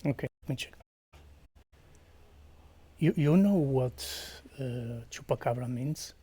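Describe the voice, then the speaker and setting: A man from Portugal with a Portuguese accent, chatting quietly on a stream, hesitant, with many fillers and slips into whispers. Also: medium pitch, takes it slowly, breathy edge. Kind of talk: quiet casual chat with viewers.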